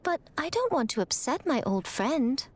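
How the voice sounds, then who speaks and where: A woman's voice: sits high, big pitch swings, normal speed, a little mellow. A woman voicing an elegant, sly shrine maiden in an anime-style game, arch and teasing with mock innocence. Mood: mock sympathy.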